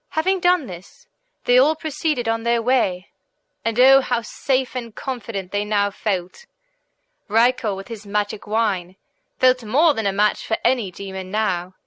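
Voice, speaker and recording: A woman reading scripted audiobook narration in an expressive, formal storytelling manner. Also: fairly high pitch, no echo.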